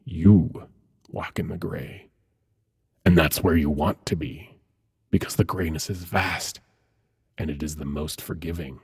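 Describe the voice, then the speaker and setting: A man playing an ancient, seductive demon prince in an intimate ASMR roleplay, hushed and coaxing, with dramatic pauses and a husky, breathy voice. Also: sits low, steady tone, exaggerated voice. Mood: knowing, persuasive. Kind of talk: ASMR roleplay, intimate second-person monologue.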